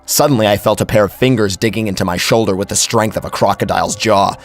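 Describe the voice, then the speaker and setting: A man's voice: lowish voice, fast, soft background music. A man narrating a first-person horror story in a theatrical, suspenseful way.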